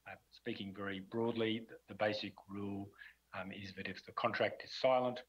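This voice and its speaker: A man speaks in a low, flat voice with a husky edge. He answers a panel question formally and haltingly, filling the gaps with ums and uhs. The recording is dull and lo-fi. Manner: hesitant, many ums and uhs. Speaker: conference panelist. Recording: dull lo-fi sound.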